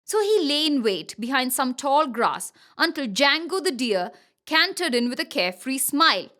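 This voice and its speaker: A woman narrating a scripted children's moral story as an expressive, careful storyteller. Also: sits high, moderate pace.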